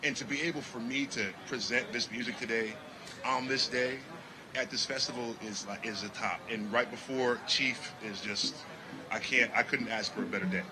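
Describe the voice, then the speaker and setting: A man from Connecticut, a jazz musician talking in a casual festival interview with animated, preachy energy. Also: mid-range voice, moderate pace, noisy background. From Connecticut, United States. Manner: animated, preachy, repeats "you know what I mean".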